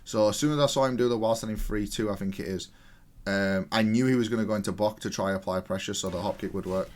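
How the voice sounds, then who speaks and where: A man's voice: lowish voice, fast, a little mellow, a little hiss or hum. A man giving casual, matter-of-fact commentary as he analyses a video game match, explaining each move. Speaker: gaming content creator.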